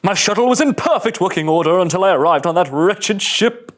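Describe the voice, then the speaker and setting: A man's voice: high voice, animated, a little fast. A man playing a haughty, peevish alien senator who complains and accuses, in a sci-fi table read.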